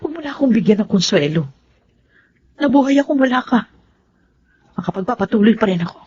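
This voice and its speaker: A woman's voice: on the high side, animated, brisk, mellow, slightly rough. A woman playing a wounded but proud young woman in a Tagalog radio drama. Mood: hurt, proud. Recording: muffled lo-fi audio.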